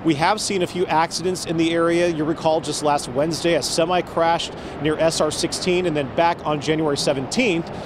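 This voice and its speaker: Higher-voiced American male reporter amid traffic noise. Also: steady tone, fairly quick, hardly any pauses. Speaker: live field reporter. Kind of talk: live TV news report. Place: outdoors near a freeway. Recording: clear outdoor traffic noise.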